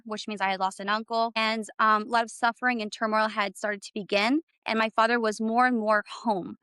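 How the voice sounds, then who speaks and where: Reflective woman from Canada, smooth voice. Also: medium pitch, pushed and bright, no room sound. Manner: reflective, hesitant with fillers. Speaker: interview guest. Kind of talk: personal interview conversation.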